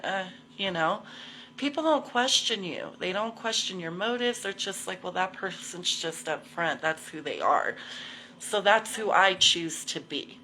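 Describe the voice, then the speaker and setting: A woman's voice: medium pitch, sharp tone, slight background noise, rough recording. A woman in her forties talking casually to livestream viewers, candid and slangy, swearing freely and repeating herself for emphasis. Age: 40s. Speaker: host of a live video show.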